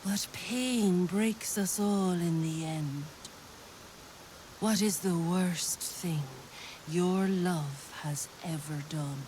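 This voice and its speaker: A woman playing a mysterious fey creature in a fantasy video game, speaking cryptically and deliberately, often slipping into a whisper. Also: on the low side, a little mellow, a little hiss or hum, low-quality recording. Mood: cryptic, probing. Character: mysterious female fey creature testing lovers' bond.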